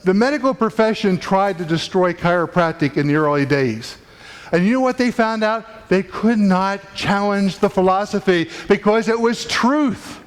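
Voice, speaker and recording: A man's voice: high-pitched, lively intonation, normal speed, smooth. A man giving an emphatic, preachy talk to fellow professionals in a conference room. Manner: preachy, emphatic, builds up intensity. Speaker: chiropractic speaker. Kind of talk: motivational talk to a live audience.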